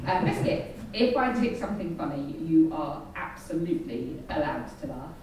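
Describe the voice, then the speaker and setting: A woman's voice: low voice, sing-song, moderate pace, darkish tone. Female panel speaker, interjected vocal tics, distant and heavily reverberant with faint noise. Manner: frequent involuntary vocal tics, interjecting stray words. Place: arts centre venue, before an audience. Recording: very echoey hall, distant mic, noisy.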